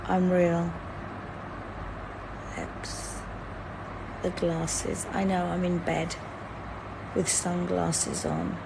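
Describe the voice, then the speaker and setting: A hoarse woman in her thirties lies in bed, holding her phone at arm's length and chatting slowly with her livestream viewers. Her voice is gravelly and tired from a sleepless night. A fan hums clearly in the bedroom behind her. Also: on the low side, sing-song. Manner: lying in bed, talking to a phone camera, reading out chat. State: hoarse, lost voice; sleep-deprived. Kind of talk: casual livestream chat with viewers. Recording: fan noise in the background.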